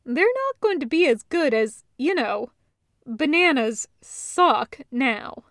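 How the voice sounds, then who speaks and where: A woman's voice: high-pitched, big pitch swings, takes it slowly, fairly bright tone, dry recording, studio quality, heavily acted. Playful, teasing woman, voiced by a reader. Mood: teasing, skeptical. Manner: casual, exclamatory teasing. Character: teasing, playful, slangy woman, affectionately skeptical.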